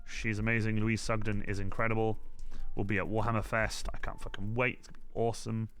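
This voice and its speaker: Bright-voiced man streaming, swearing cheerfully. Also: fairly low pitch, level tone, fast talker. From Wales. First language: Welsh. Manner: chatty, sweary, reads chat aloud. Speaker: cosplay streamer. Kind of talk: casual live-stream chat while crafting. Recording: faint music behind.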